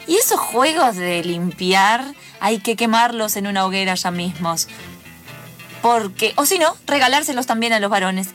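A woman's voice: mid-range voice, expressive, moderate pace, a bit bright, faint music behind, natural voice. A woman playing a curious, thoughtful young woman in an improvised radio drama, inquisitive and reflective in her delivery. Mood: candid.

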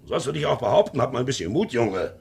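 A man's voice: medium pitch, animated, quick pace, gravelly, darkish tone, husky, exaggerated voice. An actor playing a proud, blustering, middle-aged sea captain and domineering father in a 1950s radio drama. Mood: urging. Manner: blustering, mocking, commanding.

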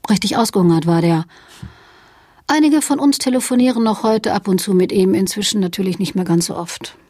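A woman's voice: medium pitch, brisk, mellow, no echo, clean studio sound, close to an everyday voice. A woman performing a wry storyteller who recounts a literary gathering in a radio play. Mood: wry storytelling.

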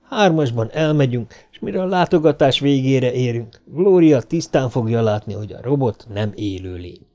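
A man's voice: medium pitch, expressive, dark tone, faint music behind, close to an everyday voice. A man voicing a self-satisfied father who explains and reasons confidently in a storybook. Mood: smug, persuasive.

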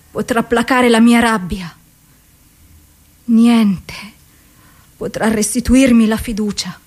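A depressed woman, bitter and worn down, speaks in a radio play. Her voice sits fairly high, and she lets each phrase fall slowly with long, heavy pauses. The delivery stays intense and raw throughout. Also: a little mellow. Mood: bitter, accusing. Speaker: radio drama actress. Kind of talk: radio theatre monologue.

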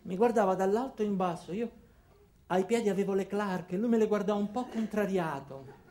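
An actor playing Alfredo, an ordinary weary man confiding his story haltingly in a theatrical radio monologue. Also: high-pitched, mellow, a little hiss or hum, natural voice. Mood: reflective, weary. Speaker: monologue narrator. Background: music.

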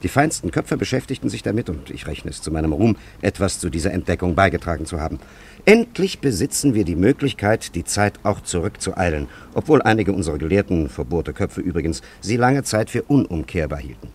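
A proud time traveller from an ancient kingdom in a radio play. His very low voice swoops widely as he lectures pompously. He talks down to his listeners in grand declamations. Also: sing-song, brisk, husky, heavily acted. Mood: proud, lecturing. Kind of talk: German radio play acting.

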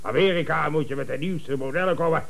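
An actor playing a self-important, domineering company boss who scolds and orders in a 1970s radio comedy. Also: male voice, on the high side, quick pace, vocal fry, a bit bright. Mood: scolding, exasperated. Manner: pompous, domineering, barking orders.

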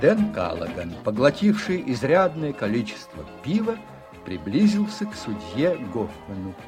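A man narrating a radio drama from a script, in an expressive, measured storytelling manner. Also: mid-range voice, over music.

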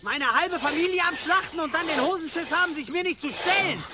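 Very high-pitched hot-headed young fighter. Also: male voice, brisk. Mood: angry, scornful. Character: hot-headed, baby-faced young male medieval axe fighter. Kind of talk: German radio play acting. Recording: noisy outdoor ambience, birdsong.

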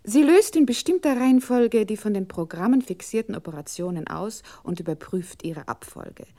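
A formal, commanding female voice of a machine, performed by a woman in a 1960s radio play. The tone is dark and mellow, with medium pitch and emphatic, exact enunciation. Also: lively intonation, moderate pace, close to an everyday voice. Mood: formal, explanatory. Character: female computer control unit, formal and commanding.

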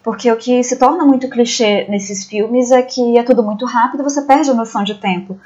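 Woman with a higher-pitched voice. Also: a little fast, dark tone, dull audio. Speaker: podcast panelist. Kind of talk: casual film discussion.

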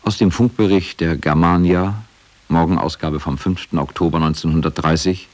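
A man's voice: low-pitched, fairly even intonation, normal speed, darkish tone, no echo. A man narrating a scripted radio documentary.

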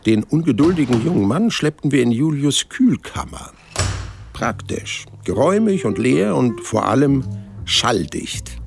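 A man's voice: medium pitch, sing-song, unhurried, gravelly. An actor playing an unflappable, sly hundred-year-old man in an audio drama, telling his story in a dry, matter-of-fact, colloquial way. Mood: wry, matter-of-fact. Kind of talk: audio drama first-person narration and dialogue. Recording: radio drama production with music.

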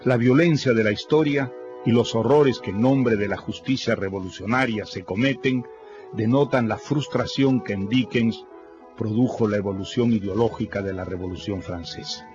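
A man giving a scripted literary introduction as a radio presenter, formal, measured and erudite. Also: lowish voice. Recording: dull radio sound with faint music.

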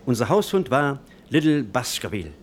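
A man's voice: medium pitch, very animated, normal speed, a little hiss or hum, lightly acted. A man announcing a radio drama in a theatrical, scripted style.

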